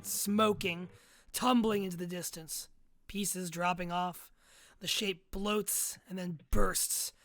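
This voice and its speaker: A woman's voice: medium pitch, sharp tone, no echo. A woman reading screenplay stage directions aloud as dramatic narration at a table read.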